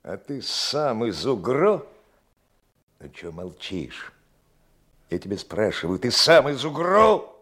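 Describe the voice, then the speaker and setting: Gruff slangy tough guy, menacing n needling, soviet radio play, swingy intonation, drawn out slow. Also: medium pitch, big pitch swings, fairly bright tone. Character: menacing, slow-talking tough pretending to be crazy. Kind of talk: Soviet radio drama acting.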